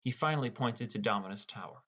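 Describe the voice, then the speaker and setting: A man's voice: on the low side, lively intonation, fast, crowd noise, lightly acted. A man playing a wry, inexperienced teenage boy who narrates his own game adventure in an audiobook. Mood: narrating. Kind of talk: first-person audiobook narration with character dialogue.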